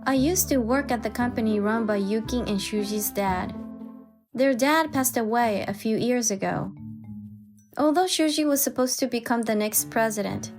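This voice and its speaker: A woman's voice: fairly high pitch, moderate pace, a little mellow, faint music behind. A woman giving scripted first-person narration as the narrator of a dramatized story.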